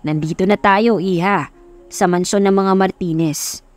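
A woman's voice: medium pitch, fairly even intonation, measured pace, a little mellow, lightly acted. A woman voicing a friendly, assured older male tricycle driver in an audiobook story. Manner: avuncular, assured. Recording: music under the voice.

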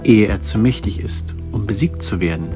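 A man's voice: fairly low pitch, moderate pace, lightly acted. A man playing a resolute, level-headed fantasy fighter, grave and earnest, in an audio drama. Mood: grave, urgent. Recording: music behind the voice.

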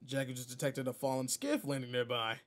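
A man's voice: mid-range voice, level tone, a little fast, a little hiss or hum, natural voice. A man playing a seasoned but laid-back space guardian mentor in a video game roleplay, casual, slangy and wry as he talks to a partner. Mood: reflective. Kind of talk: video game roleplay audio, in-character monologue.

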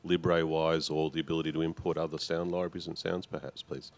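Man, very low pitch, quick pace, polite audience questioner. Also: little pitch movement. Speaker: audience member asking a question.

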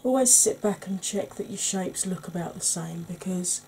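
A middle-aged woman with a Welsh accent giving an ASMR makeup tutorial at home, talking softly and often in a whisper, chatty with a dry sarcastic streak. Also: lowish voice, moderate pace, gravelly, slight background noise, rough recording. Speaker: beauty YouTuber. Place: indoors at home, face in close-up.

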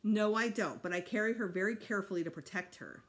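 A woman's voice: medium pitch, fast, close to an everyday voice. A woman voicing an anxious, easily exasperated mother in a children's audiobook, emphatic and dramatic. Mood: patient.

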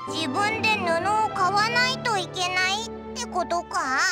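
A woman's voice: very high-pitched, measured pace, loud music behind the voice. A woman voicing a tiny childlike fairy companion in an anime game, chatty and blunt with boyish casual speech. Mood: surprised, grumbling. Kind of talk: anime video game dialogue.

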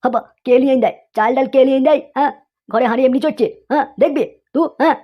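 A woman's voice: high voice, quick pace. A woman voicing a hot-tempered, exasperated young cartoon husband who scolds and blusters. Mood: furious. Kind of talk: comic cartoon dialogue. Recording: dull lo-fi sound.

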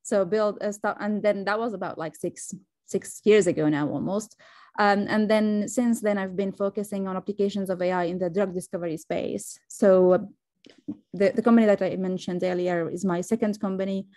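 A woman's voice: medium pitch, fairly even intonation, normal speed, mellow, dry recording. A woman from Syria introducing herself informally as a panelist, with light laughs as she talks. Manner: laughs lightly while talking. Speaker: panelist, AI startup founder.

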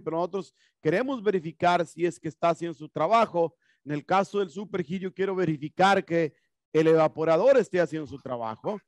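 A man's voice: sits high, no room sound. A man in his thirties teaching an HVAC class in a classroom, asking questions and answering them himself. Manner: explanatory, asks and answers his own questions. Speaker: HVAC instructor. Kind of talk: classroom lecture. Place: classroom with whiteboard and HVAC equipment. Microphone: headset mic.

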